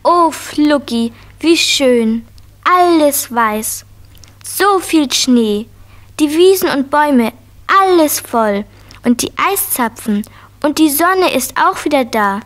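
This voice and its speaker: Excitable, high-voiced little girl. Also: slow pace. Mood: delighted wonder. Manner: childlike, eager, exclaiming. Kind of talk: children's radio play acting.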